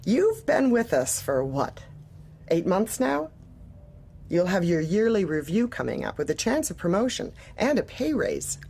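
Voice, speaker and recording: A woman playing a manipulative, politely officious office manager who probes in a businesslike way, in a dark comedy audio drama. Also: mid-range voice, sing-song, a little slow, gravelly, breathy edge, heavily acted. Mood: politely insistent. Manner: polite, probing, businesslike, bureaucratic.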